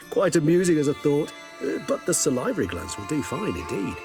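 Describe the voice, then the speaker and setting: An actor playing a courteous, scholarly vampire in a video game, speaking in formal, precise, wordy sentences. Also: male voice, a little high, huge pitch swings, normal speed, a little mellow, low-quality recording. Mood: gently amused. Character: erudite, courteous vampire scholar, wry and cautious. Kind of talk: video game dialogue acting. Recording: music behind the voice.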